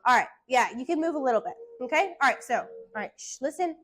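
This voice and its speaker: High-voiced woman teaching a singing class. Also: animated, quick pace. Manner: keeps checking in with "okay?" and hushing the class.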